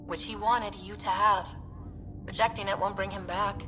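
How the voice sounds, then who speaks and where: A woman playing a steady, caring young team leader in a video game, speaking earnestly and firmly. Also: mid-range voice, normal speed, music in the background, close to an everyday voice. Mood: earnest reassurance.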